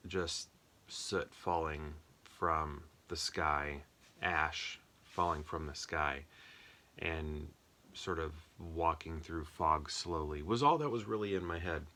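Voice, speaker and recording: A man giving a casual, off-the-cuff film review, rambling and often pausing to search for words. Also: extremely low pitch, pushed and bright.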